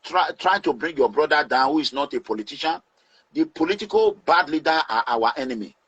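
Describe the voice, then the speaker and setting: A Nigerian man speaking in a forceful, preaching way as he gives advice to his online audience. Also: on the high side, expressive, brisk, husky, dull audio.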